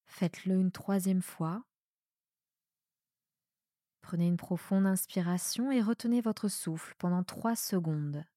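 A woman with a soft voice guiding a relaxation meditation in a calm, soothing manner with measured pauses. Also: fairly low pitch, no room sound, studio quality. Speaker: yoga nidra guide. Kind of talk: guided meditation, relaxation instruction.

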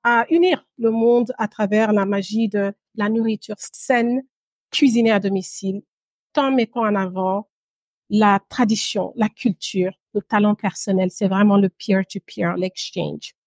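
Female interview guest, thirties, mid-pitched, measured storyteller who pauses often; dry sound. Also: fairly even intonation, a little mellow, dry recording. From Guinea and Brussels, Belgium. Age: 30s. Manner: measured, pausing, with occasional English words. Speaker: podcast guest, entrepreneur.

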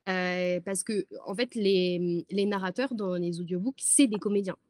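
A woman's voice: fairly low pitch, unhurried, dry recording. Chatty French woman podcaster, dry sound. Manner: animated, slangy, many fillers. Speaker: podcast co-host. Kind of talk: casual chat. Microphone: remote recording.

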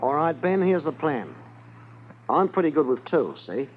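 Shrewd gold smuggler, 1950s radio crime serial. Guarded, deliberate, widely varied intonation, thin vintage broadcast sound. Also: male voice, a little high, sing-song, music in the background. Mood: confident, scheming. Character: shrewd, smooth-talking male gold smuggler. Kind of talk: 1950s radio drama acting. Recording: narrow-band vintage 1950s radio recording.

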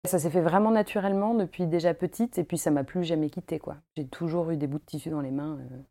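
A woman's voice: low voice, fast, mellow. A woman in her thirties, from Paris, chatting at home about her textile work with many fillers and easy laughs. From Paris, France. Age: 30s. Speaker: guest, costume maker and embroiderer. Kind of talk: casual interview chat.

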